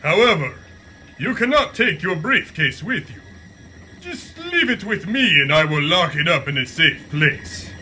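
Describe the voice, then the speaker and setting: A man's voice: a little high, sing-song, a little slow, noisy background. A man playing a courteous, theatrical foreign villain scientist in a pulp radio serial. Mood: calm, courteous. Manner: theatrical, polite, calling people comrade.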